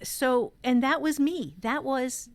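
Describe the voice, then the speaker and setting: A woman's voice: sits high, slow. Candid woman chatting on a podcast. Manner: candid, informal, swearing, emphatic. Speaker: podcast host and workshop teacher. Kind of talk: casual workshop chat and personal storytelling.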